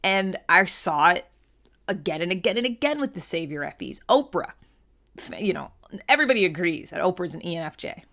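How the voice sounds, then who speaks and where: Low-pitched woman chatting through ideas. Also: very animated, fairly quick, a bit bright. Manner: conversational, checks in with "right?". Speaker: host of a personality typology show. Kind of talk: casual unscripted explainer monologue.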